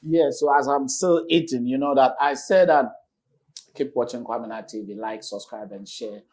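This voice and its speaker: A man hosting a casual on-location chat in a small restaurant, lively and promotional. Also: medium pitch, normal speed. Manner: lively and promotional, urging viewers to visit. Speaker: channel host.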